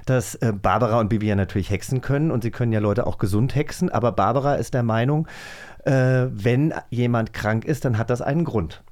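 A man co-hosts in a casual, conversational way. He talks very quickly, in a mid-range voice. The style is that of an informal chat show. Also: medium pitch, a little mellow. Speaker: co-host of an audio drama magazine show.

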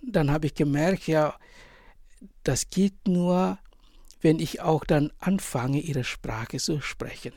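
A man in his forties with an Indonesian accent tells his story in a slightly raised voice. He speaks haltingly, stopping often to hunt for words in a language that is not his own. The recording is dry, with no room sound. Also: a little high, a little mellow, no room sound. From Indonesia, island of Sumba. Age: 40s. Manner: halting, many pauses and fillers, searching for words. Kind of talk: interview answers.

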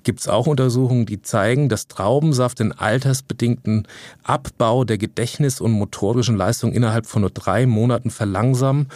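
A man's voice: mid-range voice, brisk, darkish tone, no echo. A man, a nutrition scientist, explaining health facts in a podcast conversation with frequent "äh" fillers and small self-corrections, now and then adding a light joke.

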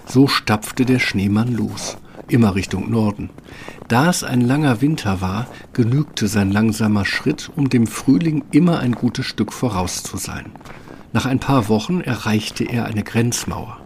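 A man's voice: mid-range voice, unhurried, creaky, slight background noise. A man reading scripted fairy-tale narration as the storyteller of a radio play.

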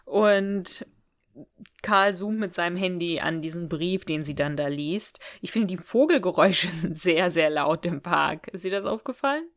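A woman's voice: on the low side, moderate pace. A woman co-hosting a podcast, casually discussing an audio drama and often giggling as she talks. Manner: giggly, often laughing through sentences. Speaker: podcast co-host.

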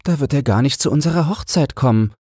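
A hurt, insistent young woman in a literary audiobook, voiced by a male narrator. The pitch is lifted well above his usual deep register, with a breathy tone and dry recording. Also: fast talker, mellow, dry recording, close to an everyday voice.

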